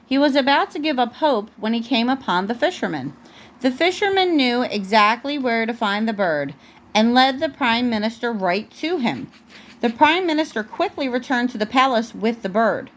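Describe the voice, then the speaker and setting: A woman's voice: fairly high pitch, lively intonation, moderate pace, a bit bright. A woman reading a storybook aloud as a mother to her young child, often breaking off to correct the child. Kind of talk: storybook read aloud at home, with asides to a child.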